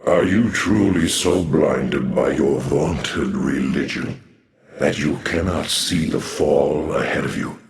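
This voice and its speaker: A man voicing an ancient alien dark templar warrior in a video game, grave and imperious, with solemn, archaic grand speech. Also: a bass voice, slow pace. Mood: scornful, ominous. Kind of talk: theatrical video game acting. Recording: roomy echo on the voice.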